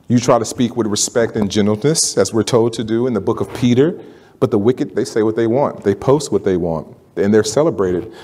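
Man preaching conversationally from a pulpit. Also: mid-range voice. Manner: conversational preaching, rising animated for emphasis. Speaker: pastor. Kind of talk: sermon. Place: church sanctuary, standing at a pulpit. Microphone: pulpit microphone.

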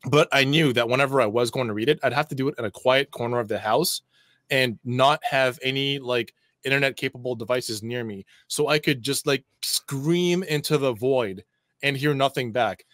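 A man's voice: medium pitch, moderate pace, fairly bright tone, no room sound. A man co-hosting a talk show on a video call, ranting casually in an exasperated, sweary way.

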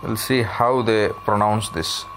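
A man narrates an app tutorial at a slow, unhurried pace. His pitch is average and the delivery is unscripted, with faint noise behind the voice. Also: fairly even intonation. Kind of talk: unscripted tech tutorial voice-over.

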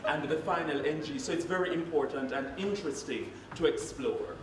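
A man's voice: on the high side, brisk. A man responding on a conference panel, explaining with light laughs. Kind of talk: panel discussion. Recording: echoey hall, distant mic, noisy.

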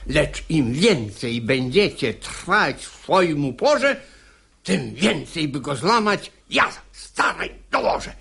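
Blustering gullible patriarch, high-pitched, wildly swooping intonation, theatrical verse delivery, radio comedy. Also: male voice, creaky, fairly bright tone, exaggerated voice. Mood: indignant, stern. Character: hot-tempered, gullible, domineering father of a household.

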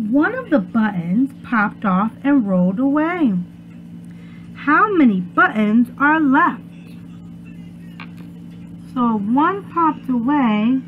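Young female preschool teacher for children's read-aloud; sing-song, slow delivery, thin tinny audio with heavy noise. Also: high-pitched. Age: young adult. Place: small room at home. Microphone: webcam or laptop at arm's length. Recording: muffled phone-like webcam sound, loud noise.

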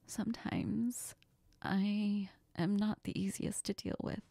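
A woman playing a heartbroken, self-doubting young best friend in an intimate audio role-play, tearful and sniffling, speaking haltingly in a near whisper. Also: medium pitch, flat delivery, creaky, mellow, no room sound. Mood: dejected, self-blaming.

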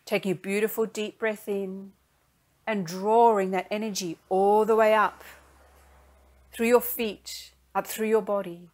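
A woman leading a guided meditation in a gentle, soothing, unhurried manner, speaking in soft phrases. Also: medium pitch, fairly even intonation.

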